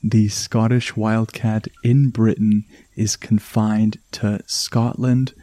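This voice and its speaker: A man with a Canadian accent hosting a calm relaxation podcast, speaking in a soothing, unhurried way. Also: lowish voice, no room sound, low-quality recording. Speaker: podcast host. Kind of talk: slow relaxation podcast narration.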